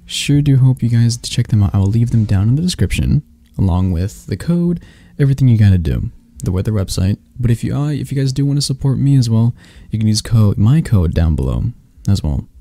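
A man's voice: lowish voice, big pitch swings, brisk, few pauses, mellow, no echo. A man casually presenting a sponsor read, informal and chatty in his phrasing. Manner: informal, tags phrases with "right?". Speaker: ASMR creator presenting a sponsor.

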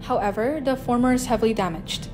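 A woman's voice: on the high side, fast, darkish tone, close to an everyday voice. Actress as a clipped female bridge officer, music behind. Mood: formal, tense. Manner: clipped military reporting. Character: formal, businesslike female starship bridge officer. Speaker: voice actor. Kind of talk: audio drama acting.

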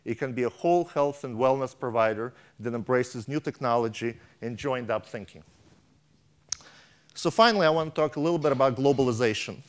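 A man's voice: medium pitch, brisk. Man, middle-aged, conference keynote, read from a tablet, restarts words, lapel mic, large hall stage. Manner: reads from a tablet with frequent restarts and stumbles. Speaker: keynote speaker. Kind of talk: reading a prepared conference speech.

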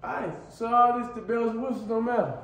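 A man's voice: very high voice, fairly even intonation, fast talker. A man bantering playfully on a tour of a college basketball locker room and gym. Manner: playful trash talk. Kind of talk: casual banter on a campus tour. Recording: echoey, distant, noisy arena.

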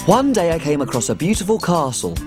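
A man's voice: a little high, very animated, fairly quick, over loud music. A man playing a tiny, cheerful cook who tells a children's storybook tale in a bouncy, sing-song way. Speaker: children's TV presenter.

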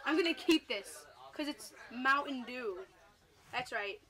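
Teen girl vlogger, excited and loud, camera in hand on a crowded bus. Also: high-pitched, measured pace, crowd noise. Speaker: vlogger filming friends. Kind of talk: giggly casual chat. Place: school bus among classmates. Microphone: handheld camera.

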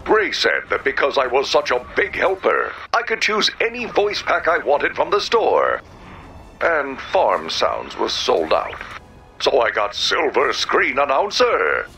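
An eager video game robot that speaks as a bombastic film-trailer announcer. The delivery is theatrical and slow, bright and forceful, with huge swings in pitch. Faint music plays behind it. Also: male voice, huge pitch swings, full character voice. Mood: earnest, theatrical. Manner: grandiose, theatrical, drawn-out. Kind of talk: dramatic movie-trailer announcer parody.

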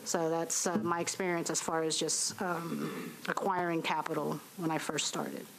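A young adult woman testifying at a public hearing from a podium microphone, speaking haltingly with many fillers and restarts. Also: sits low, little pitch movement, creaky, fairly bright tone, rough recording. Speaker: business owner giving public testimony. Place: municipal hearing room.

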